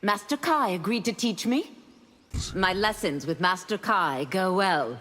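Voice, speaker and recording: A woman's voice: mid-range voice, expressive, a little slow, a bit bright, in a large hall. An actress playing a poised, wry warrior woman in video game fight banter. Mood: teasing. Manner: wry, coolly amused.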